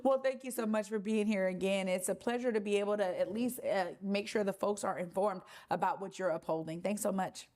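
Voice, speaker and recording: Woman talk show host, upbeat and enthusiastic, mid-pitched voice, quick to laugh. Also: a little mellow. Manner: bubbly, enthusiastic, laughs easily.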